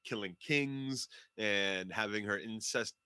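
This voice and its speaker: Low-voiced male co-host, blunt and emphatic, heavy profanity, close and dry. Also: slow, sharp tone, smooth, no room sound. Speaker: podcast co-host. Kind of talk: casual fan podcast chat.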